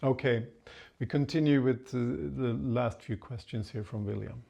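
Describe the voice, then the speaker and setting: Swedish host, quick reactions. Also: male voice, medium pitch, vocal fry, dark tone. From Sweden. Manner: encouraging, frequent short reactions like "wow". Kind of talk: casual interview.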